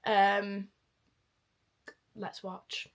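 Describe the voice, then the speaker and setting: A woman's voice: medium pitch, level tone, extremely slow. A young woman from Manchester chatting casually in a reaction vlog, mocking herself as she goes. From Manchester, England. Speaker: vlogger.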